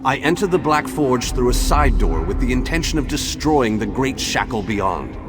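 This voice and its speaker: An actor playing a pompous, self-assured great wizard in a video game, speaking in a grand, formal, theatrical way. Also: male voice, medium pitch, lively intonation, moderate pace, close to an everyday voice. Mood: smug, confident. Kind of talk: video game character acting. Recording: loud music behind the voice.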